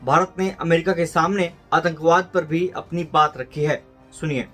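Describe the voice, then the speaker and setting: A man's voice: fairly high pitch, moderate pace, fairly bright tone. A man with an Indian accent narrating a scripted news report as a voice-over. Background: background music.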